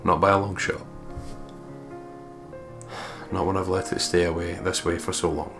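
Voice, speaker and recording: A man's voice: low voice, flat delivery, quick pace, gravelly. A man doing a let's play, reading a visual novel's narration aloud and chatting casually about it. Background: game music.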